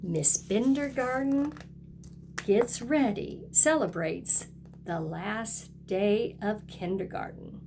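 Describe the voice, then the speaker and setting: A woman's voice: medium pitch, slow pace, background noise. A woman kindergarten teacher reading a picture book aloud and talking directly to young children in a sing-song way.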